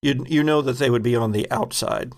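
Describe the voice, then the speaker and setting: A man with a gravelly voice narrating an improvised tabletop game as the game master. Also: mid-range voice, fairly quick.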